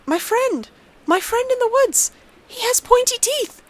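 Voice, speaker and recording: Woman doing a timid little girl, high pitched, halting n earnest, creepypasta style, slight noise behind. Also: expressive. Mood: frightened.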